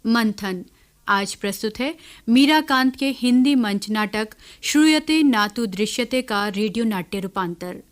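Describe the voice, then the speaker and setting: A woman announcer giving a formal, scripted radio introduction in a deliberate manner. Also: fairly high pitch. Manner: measured, deliberate.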